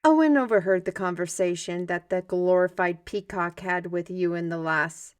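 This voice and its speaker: A woman playing a lively artist mage and storytelling mentor in a fantasy audio roleplay, with formal old-fashioned phrasing and muttered asides. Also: lowish voice, normal speed, clean tone, close to an everyday voice. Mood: animated, indignant.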